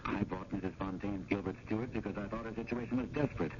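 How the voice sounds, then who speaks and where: Earnest, conscience-stricken curator played by a man, lowish voice, formal and emphatic. Muffled 1970s radio drama sound. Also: fairly even intonation, quick pace, lightly acted. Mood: contrite. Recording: muffled old radio broadcast, clear hiss.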